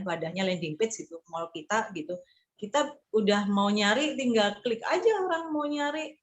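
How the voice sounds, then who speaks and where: Woman, marketing consultant, casual meeting talk, halting delivery full of fillers, mid pitch. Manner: hesitant, many fillers and restarts; informal, conversational explaining. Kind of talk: casual group discussion.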